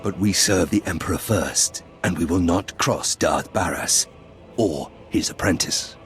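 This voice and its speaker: An actor playing a dutiful, firm Sith guard in a video game, formal and respectful. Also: male voice, deep voice, a little slow, faint music behind. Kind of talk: video game cutscene acting.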